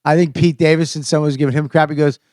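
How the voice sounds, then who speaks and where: A man's voice: a little high, level tone, very fast, dark tone, no room sound, studio quality. A man with a Boston accent co-hosting a morning radio show, joking and rambling in loose banter. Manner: joking, rambling, restarts a lot. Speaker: radio co-host. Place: radio studio.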